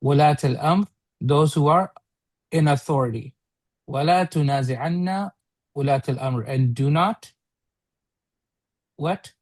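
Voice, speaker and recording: A man's voice: fairly high pitch, moderate pace, pauses often, no echo. A man teaching a one-on-one religious read-along lesson, repeating and rephrasing points and checking with short questions.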